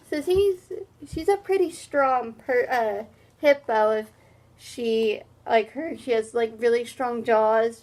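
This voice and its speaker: A woman reading a picture book aloud as a teacher, pausing for halting, simple explanatory asides. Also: fairly high pitch.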